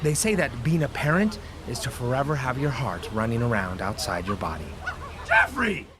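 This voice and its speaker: An actor playing an awkward, harried, overeager divorced dad on a date in a radio play. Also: male voice, mid-range voice, very animated, moderate pace, heavy background noise, lightly acted. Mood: scolding. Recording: animal noises behind the voice. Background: zoo animal ambience.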